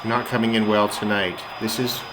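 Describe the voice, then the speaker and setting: A man's voice: on the low side, little pitch movement, normal speed, background noise. A man giving casual, off-the-cuff commentary as a radio hobbyist, thinking aloud hesitantly as he goes. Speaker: shortwave radio hobbyist host. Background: shortwave radio broadcasts and music.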